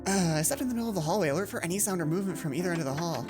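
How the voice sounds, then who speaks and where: Animated woman reading a game aloud. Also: fairly low pitch, rapid-fire, over music. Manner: animated, acts out dialogue. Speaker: let's play host. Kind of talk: reading a visual novel aloud with casual commentary.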